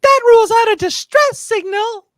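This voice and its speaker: A woman's voice: extremely high pitch, normal speed, no room sound, studio quality. A woman playing an impatient, combative starship officer in an amateur sci-fi radio play. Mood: wary.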